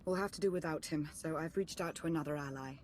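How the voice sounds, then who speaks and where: A woman playing a poised, shrewd strategist in a dramatic video game, measured, formal and wry. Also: low-pitched, darkish tone, slight background noise, natural voice. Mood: brisk, wry. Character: poised, shrewd female Sith strategist ally.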